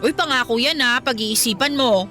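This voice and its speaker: A woman's voice: a little high, normal speed, pushed and bright. A woman playing a loyal, frank young best friend in a radio drama, casual and candid. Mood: friendly, frank. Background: faint background music.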